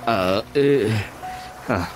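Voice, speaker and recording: A man's voice: fairly low pitch, drawn-out words, background noise. A man acting a blustering, evasive husband in a radio drama, stammering and sputtering. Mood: evasive, nervous. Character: blustering, evasive husband, cowed when confronted.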